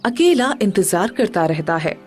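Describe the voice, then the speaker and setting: Lively female story narrator. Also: mid-range voice, unhurried, faint music behind. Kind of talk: scripted moral story narration.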